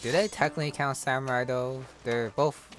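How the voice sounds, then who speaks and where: A man's voice: medium pitch, steady tone, moderate pace. A man giving casual, offhand gameplay commentary in short remarks. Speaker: gamer, commentator. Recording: loud game music behind voice. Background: game music.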